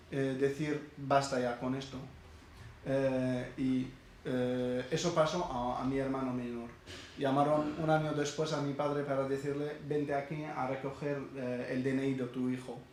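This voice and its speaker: Syrian man speaking haltingly in an echoey hall. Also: mid-range voice, darkish tone. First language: Arabic. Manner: halting, many hesitations and restarts. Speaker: speaker at a university event. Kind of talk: personal testimony talk. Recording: very echoey hall, faint background noise.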